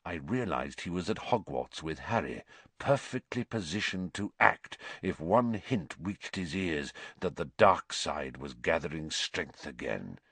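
A man voicing a gaunt, bitter fugitive with a croaky, hushed voice and a grave, intense manner in a story. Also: rumbling low, lively intonation, unhurried, no echo, voice pushed down, exaggerated voice. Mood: haunted, intense.

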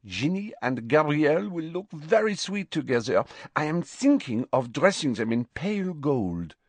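A man's voice: a little high, sing-song, normal speed, no room sound, voice pushed up. A man voicing a self-assured, fussy young bride-to-be in an audiobook, gushing and opinionated. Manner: in full flow, opinionated.

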